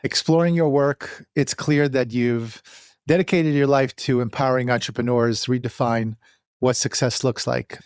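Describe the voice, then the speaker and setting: A man hosting an interview podcast, speaking earnestly in deliberate, emphatic phrases. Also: medium pitch, dry recording, clean studio sound. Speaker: podcast host.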